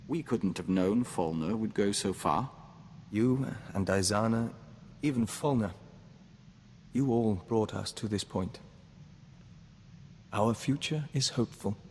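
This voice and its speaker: A man voicing a gentle, devoted diplomat of an insect hive mind, formal and measured, in a video game. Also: fairly low pitch. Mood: calm, hopeful. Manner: formal, measured, speaks of himself as we. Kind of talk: scripted video game dialogue acting. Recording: long reverb, noisy game ambience.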